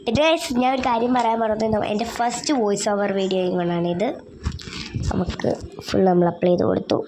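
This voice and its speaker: A woman's voice: mid-range voice, fairly even intonation, fairly quick, background noise. A woman with a Malayali accent narrating a skincare vlog in a casual, informal way, hesitating as she talks directly to viewers. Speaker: skincare vlogger.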